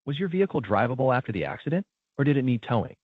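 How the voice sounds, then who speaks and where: A man's voice: medium pitch, very animated, racing, no echo. A man's synthetic voice acting as a polite, procedural automated claim agent with scripted customer service lines. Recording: narrow tinny phone-like sound.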